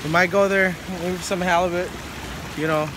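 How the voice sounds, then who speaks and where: A fairly high-voiced man chats into a handheld phone on a windy lagoon shore. He rambles easily, with frequent fillers and restarts. Wind and water noise sit clearly behind him. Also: fairly even intonation, moderate pace. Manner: chatty, many fillers and restarts. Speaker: fishing vlogger. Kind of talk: casual outdoor vlog. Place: rocky lagoon shore outdoors. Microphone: phone camera at arm's length.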